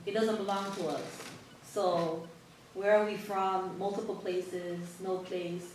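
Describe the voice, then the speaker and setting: A woman on a discussion panel, talking in a thoughtful, halting, casual way. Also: medium pitch, flat delivery, a little mellow, a little hiss or hum. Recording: echoey hall from far off.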